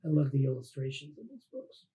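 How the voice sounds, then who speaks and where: A man, a teacher, reading a children's picture book aloud in an informal, expressive way with casual asides. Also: mid-range voice, fairly quick.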